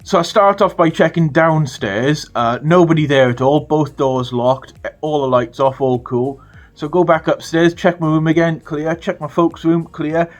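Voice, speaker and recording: A British man telling a funny story to his viewers in a casual, animated way, swearing freely. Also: a little high, normal speed, faint music behind. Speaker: storytelling vlogger.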